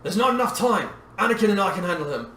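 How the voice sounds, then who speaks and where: A man voicing an older bearded warrior in a story, formal, measured and authoritative. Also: high voice, lively intonation, sharp tone, voice pushed up. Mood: urgent, commanding. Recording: roomy echo, distant mic, faint noise.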